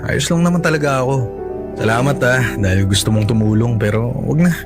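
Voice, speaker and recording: Actor playing a broke devoted filipino boyfriend, humble and pleading, average pitch, radio drama with soft music under. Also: male voice, brisk, close to an everyday voice. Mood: resigned, tender. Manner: pleading, self-effacing. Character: cash-strapped, apologetic, devoted young father and lover.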